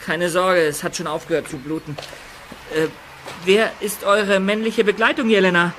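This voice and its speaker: Radio play: jumpy teenage sleuth, fairly high and bright, stammers under shock. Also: male voice, fairly even intonation, moderate pace, slight background noise. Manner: stammering, startled. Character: nervous teenage boy detective.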